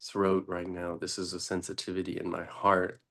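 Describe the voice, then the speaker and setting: A man teaching meditation in an unscripted, deliberate way, pausing often between short phrases. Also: low-pitched, monotone, fairly bright tone.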